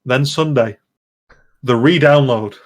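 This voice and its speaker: A man's voice: medium pitch, takes it slowly. A man hosting a casual gaming podcast, chatty and digressive, often interrupting himself as he goes. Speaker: podcast host.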